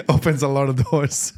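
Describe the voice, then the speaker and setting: Italian-accented man, chatty and rambling. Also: medium pitch. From Italy. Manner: rambling, many restarts and fillers, animated. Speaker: tailor being interviewed. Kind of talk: casual interview conversation.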